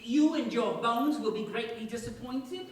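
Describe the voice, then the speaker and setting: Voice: mid-range voice, moderate pace, lightly acted. An actor playing a comic, jittery, dithering fellow in a staged radio play. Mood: dry joking. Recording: echoey stage, distant mic, faint noise.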